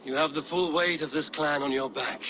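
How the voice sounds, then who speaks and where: An actor playing a steady Viking clan leader with a dry, wry and firm manner. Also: male voice, a little high, normal speed. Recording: game cutscene with ambient noise.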